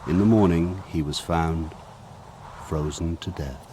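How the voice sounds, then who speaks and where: Reflective male storyteller, deep voice, measured literary narration for radio drama, noticeable background noise. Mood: grave. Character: reflective adult narrator recalling his village childhood. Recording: clearly noisy background.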